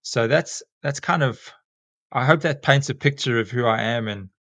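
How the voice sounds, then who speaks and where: A man's voice: mid-range voice, normal speed, a bit bright, no room sound. South african guy in his 40s, guest on a chat podcast, lots of ums, dry close mic. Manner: rambling, many ums and restarts. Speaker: guest, school teacher and snake catcher. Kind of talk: casual podcast interview.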